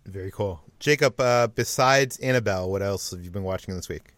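Low-key man hosting a podcast, dry sound. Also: fairly quick, a bit bright, no echo. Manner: low-key, asks questions. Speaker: podcast host. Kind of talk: casual chat.

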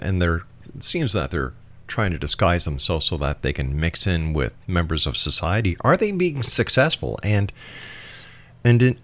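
Lively, low-pitched male interview host. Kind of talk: conversational interviewing, musing questions.

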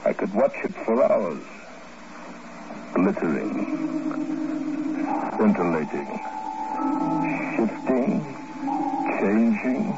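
Casting: brooding old-school general, deliberate and slow, low voice, vintage radio melodrama. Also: male voice, sing-song, vocal fry, heavily acted. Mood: lulling, hypnotic. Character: brooding male general, trophy hunter, sly lulling hypnotist. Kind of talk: 1950s radio drama acting. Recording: dull old radio recording, music behind.

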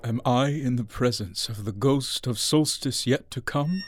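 A man's voice: high-pitched, huge pitch swings, unhurried, music in the background, heavily acted. An actor playing an anguished, awestruck man haunted by spirits, speaking solemnly and pleadingly in a radio drama. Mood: fearful awe. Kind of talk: Dickensian radio drama acting.

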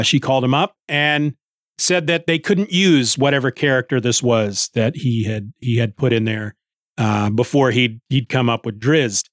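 A middle-aged man talks casually as a solo podcast host, in a mid-pitched voice. He rambles conversationally, working out his thoughts as he goes. The recording is dry, with very little room sound. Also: no room sound. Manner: rambling, conversational, thinking aloud. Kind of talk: casual solo book review chat.

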